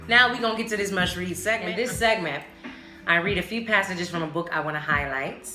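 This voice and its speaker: A woman's voice: on the low side, a little fast. A woman hosting a casual solo podcast, speaking candidly and emphatically in slang, with frequent swearing. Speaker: podcast host. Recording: roomy echo, music behind the voice. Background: music.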